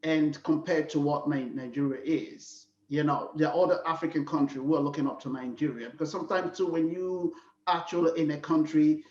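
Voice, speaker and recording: A man's voice: a little high, fairly even intonation, brisk, a little mellow, reverberant, recorded from a distance, muffled recording. A man hosting a panel talk show, moderating loosely with filler words.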